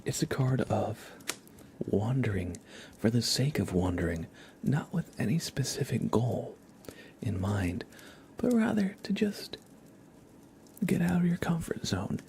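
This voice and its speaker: A man hosting an ASMR stream in a soft, breathy half-whisper, speaking gently and informally. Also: medium pitch, big pitch swings, unhurried, long pauses, a little hiss or hum. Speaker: ASMR livestream host.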